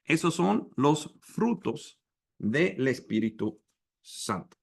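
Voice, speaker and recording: A man whose first language is English, leading a Bible study in slow, halting Spanish with pauses and restarts over a video call. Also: fairly high pitch, very animated, vocal fry, husky. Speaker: preacher.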